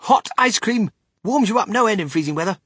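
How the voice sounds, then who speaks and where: A man voicing an excitable, eccentric, impatient chocolate-factory owner in a theatrical storybook reading. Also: sits high, husky, no echo, clean studio sound, voice pushed up, full character voice. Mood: gleeful. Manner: theatrical, exclamatory, brisk and dismissive.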